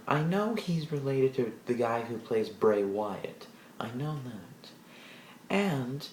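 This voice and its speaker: A man giving a casual solo wrestling show review, rambling with restarts and animated asides in a deep voice. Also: roomy sound, slight background noise.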